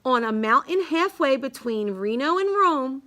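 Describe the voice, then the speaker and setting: A woman reading a rhyming children's picture book aloud in a measured, singsong way. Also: high voice, animated, fairly bright tone. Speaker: storybook reader.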